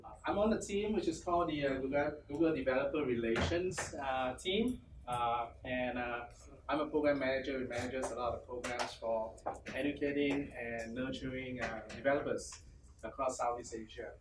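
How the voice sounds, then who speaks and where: A man from Malaysia giving a relaxed, casual conference talk as a program manager, filling pauses with many uhs. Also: mid-range voice. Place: conference hall. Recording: echoey hall, distant mic, clear noise.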